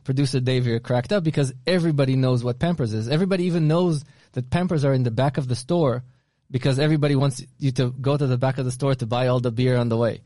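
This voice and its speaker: A man's voice: medium pitch, fast, mellow, dry recording. A man co-hosting a podcast, telling anecdotes in casual chat. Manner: storytelling, restarts often, anecdotal. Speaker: podcast co-host.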